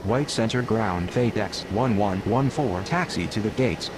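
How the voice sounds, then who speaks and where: Over a clearly noisy background with vehicle rumble, an actor plays a businesslike man on airport radio. His voice is on the low side, and his delivery is procedural and formulaic. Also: unhurried, lightly acted. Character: businesslike male pilot and controller on airport radio. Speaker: air traffic radio voice. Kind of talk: scripted aviation radio phraseology.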